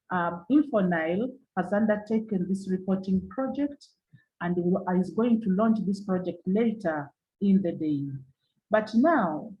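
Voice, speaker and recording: A woman from Uganda with a Ugandan English accent, giving formal opening remarks as a webinar moderator over a video call, halting and repeating her points. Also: medium pitch, dark tone, lo-fi sound.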